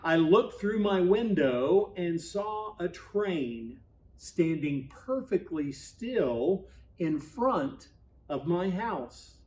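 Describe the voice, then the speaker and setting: A man reading a children's Christmas storybook aloud in an expressive, measured way, with deliberate pauses and emphasis. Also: high-pitched, slight background noise. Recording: voice at a distance from mic.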